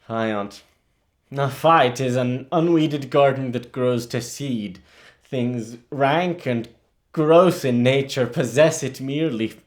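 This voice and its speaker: An actor playing a grieving, bitter young prince in a theatrical monologue, brooding and halting, rising into outbursts. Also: male voice, medium pitch, lightly acted. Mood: weary despair.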